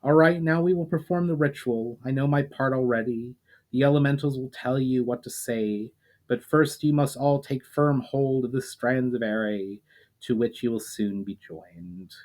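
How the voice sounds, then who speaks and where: A man voicing a solemn male leader who grants elemental powers to his friends, speaking in a measured way. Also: medium pitch, darkish tone, close to an everyday voice. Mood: instructing.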